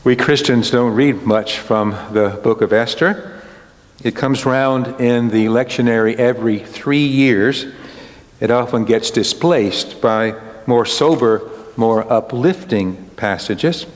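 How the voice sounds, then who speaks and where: Slow-speaking older pastor in an echoey church. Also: male voice, medium pitch. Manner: deliberate, phrase-by-phrase delivery. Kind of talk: storytelling sermon. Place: indoors at a church pulpit. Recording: echoey hall, long reverb.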